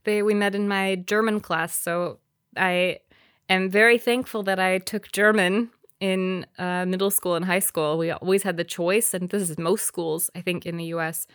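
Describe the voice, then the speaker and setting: A woman's voice: lowish voice, fairly even intonation, moderate pace, clear, resonant tone, no echo, studio quality. A woman, co-hosting a casual podcast and explaining things in fluent, easy sentences. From Wisconsin, USA. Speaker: podcast co-host. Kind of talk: casual chat.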